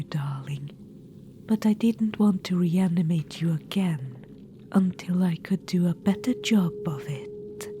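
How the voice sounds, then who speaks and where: A woman's voice: fairly low pitch, slight background noise. A woman voicing an imperious, gleefully macabre undead witch-queen in a whispered audio roleplay, cooing "darling" at the listener.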